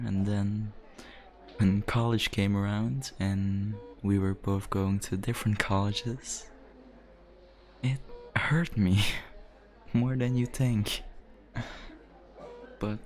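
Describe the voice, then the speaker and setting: An actor playing a caring young adult brother comforting his sister in an ASMR roleplay, speaking gently and hesitantly with soft, breathy chuckles. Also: medium pitch.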